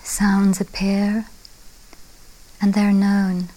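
A woman meditation teacher speaks very slowly in a mellow, relaxed voice. Her delivery is gentle and even, with short phrases that soften into breathy endings as she guides the listener. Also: medium pitch, poor audio. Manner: gentle, unhurried, long pauses between short phrases.

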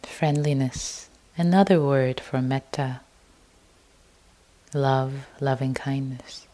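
A woman leading a guided meditation as a teacher, speaking gently in short, unhurried phrases. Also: extremely low pitch, fairly even intonation.

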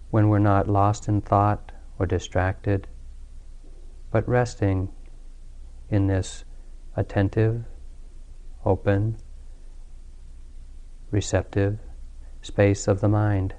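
A man leading a guided meditation as a teacher, speaking calmly and soothingly with long pauses. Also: on the low side, smooth. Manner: calm, unhurried, soothing delivery with long pauses.

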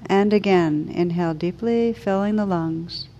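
A woman leading a guided meditation as a teacher, speaking slowly and soothingly with gentle pauses. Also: lowish voice, highs cut off, a little hiss or hum.

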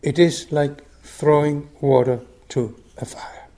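A man's voice: a little high, fairly even intonation, slow pace, warm tone. An older man from Argentina, giving a reflective meditation talk with frequent restarts. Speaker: meditation group teacher. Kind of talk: dharma talk.

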